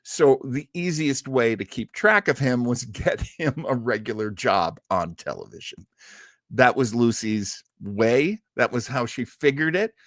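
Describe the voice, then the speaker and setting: A man hosting a casual live talk in a chatty, halting way with wry asides. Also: medium pitch, expressive, no room sound, clean studio sound. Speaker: host. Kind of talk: casual live-stream talk on old radio comedy.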